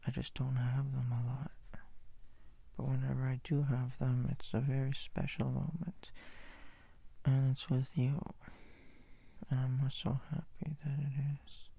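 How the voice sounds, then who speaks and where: A man playing a sleepy, needy, affectionate young boyfriend in an ASMR roleplay, rambling in a breathy, husky, half-whispered murmur. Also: mid-range voice, flat delivery, unhurried, long pauses, mellow, dry recording. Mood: drowsy, playful.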